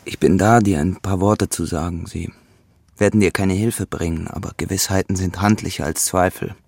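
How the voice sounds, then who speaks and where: Radio drama, guilt-laden, unsentimental man: deep and husky, terse, measured, recorded close and dry. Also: fairly even intonation, darkish tone, no echo, exaggerated voice. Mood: sombre. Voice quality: low, gravelly, husky. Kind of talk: German radio play acting.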